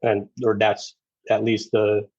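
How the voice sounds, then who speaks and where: A man talking as a podcast guest in casual conversation, earnest and halting, with frequent restarts. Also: on the low side, completely flat delivery, darkish tone, no room sound, lo-fi sound. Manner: earnest, halting, many restarts and "you know"s. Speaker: podcast guest, former federal officer and navy corpsman.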